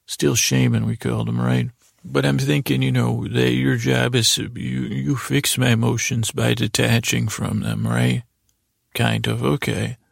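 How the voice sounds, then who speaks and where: A man with an extremely gravelly, creaky voice at a middling pitch talks in a very dry, close space. He hosts a bedtime podcast, meandering and halting as he goes. His delivery is self-deprecating and loosely strung together. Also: little pitch movement, no echo. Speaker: podcast host. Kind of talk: rambling bedtime monologue.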